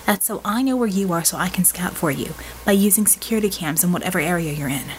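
A woman voicing an earnest, quick-witted young female hacker-mage in an audiobook, explaining things assertively with dry wit. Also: on the low side, animated, slight background noise, close to an everyday voice, rough recording. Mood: brisk, explanatory. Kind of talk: audiobook character dialogue.

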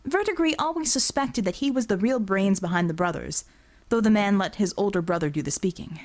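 A woman's voice: medium pitch, lively intonation, brisk. A woman reading a scripted work of fiction as an audiobook narrator.

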